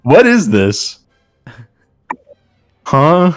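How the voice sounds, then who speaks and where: A man's voice: fairly high pitch, big pitch swings, extremely slow, no echo, clean studio sound, soft background music. A man reading fan fiction aloud in a mock dramatic style, with amused, incredulous casual banter.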